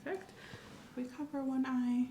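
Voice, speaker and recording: A soft-spoken woman in an ASMR medical roleplay, gently and calmly playing an examiner, often dropping into a whisper. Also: high voice. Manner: gentle, reassuring, unhurried clinical narration. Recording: roomy echo, faint ambient noise.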